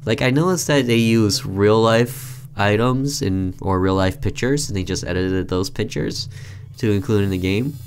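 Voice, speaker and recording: A man's voice: fairly low pitch, normal speed, slight background noise. A man hosting a gaming video, reading a visual novel aloud and commenting casually.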